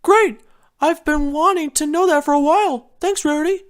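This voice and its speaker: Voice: sits high, moderate pace, voice pushed up, lightly acted. A narrator voicing a cheerful young male dragon in a storybook reading, friendly and enthusiastic. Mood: delighted.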